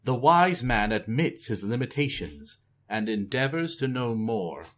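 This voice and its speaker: A man's voice: mid-range voice, big pitch swings, unhurried, a bit bright. A man voicing an earnest young male king who searches for his words.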